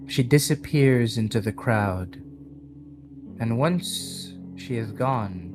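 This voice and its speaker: A man narrating a role-playing scene as game master in an atmospheric storytelling style. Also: lowish voice. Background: guitar music.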